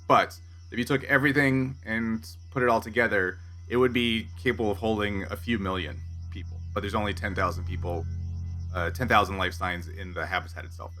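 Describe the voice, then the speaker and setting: A man's voice: lowish voice, sharp tone. A man speaking as game master, improvising scene descriptions and rulings in a tabletop roleplaying game.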